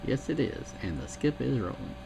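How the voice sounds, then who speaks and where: A man's voice: mid-range voice, sing-song, moderate pace, gravelly. A man chatting on CB radio in a rambling way, with fillers and trailing thoughts. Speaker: CB radio operator. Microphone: CB radio mic. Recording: heavy radio static.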